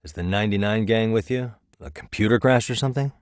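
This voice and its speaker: A man's voice: fairly low pitch, fast, smooth, dry recording, studio quality, natural voice. A man playing a streetwise private detective in a video game, cool, wry and dogged, with an American accent and a casual, conversational delivery. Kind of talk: English video game voice acting.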